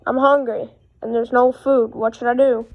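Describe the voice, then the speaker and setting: A woman's voice: fairly high pitch, little pitch movement, moderate pace, muffled recording, natural voice. A woman acting a hungry homeless man in an amateur radio play. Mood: plaintive. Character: hungry homeless man Kim Jim and dog's owner.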